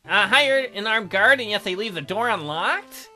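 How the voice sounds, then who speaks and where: Gaming co-host, piercingly bright, playing out visual novel dialogue with mock-indignant banter over music. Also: mid-range voice, animated, moderate pace. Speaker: let's play co-host voicing a character. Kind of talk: acting out game dialogue with banter. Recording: background music under voice.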